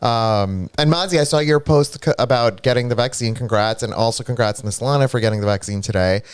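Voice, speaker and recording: A man's voice: mid-range voice, a little fast, clear, resonant tone, dry recording. A man co-hosting a live stream, chatting casually and greeting viewers, with a congested, stuffy-nosed voice. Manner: chatty, reads viewer comments aloud, animated asides. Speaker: streamer co-host.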